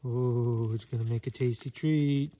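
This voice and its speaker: A man's voice: mid-range voice, fairly even intonation, unhurried, warm tone. A man in his thirties vlogging casually at a snowy night campsite, savoring food and chatting to viewers. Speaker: outdoor vlogger. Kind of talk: casual chat to camera.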